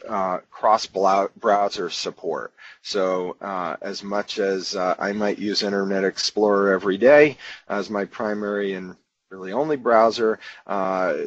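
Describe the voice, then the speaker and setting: A man, a company executive, giving a halting sales presentation over a web meeting, with many uhs. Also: deep voice, fairly bright tone, slightly rough, no echo. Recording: dull, slightly hissy web-meeting audio.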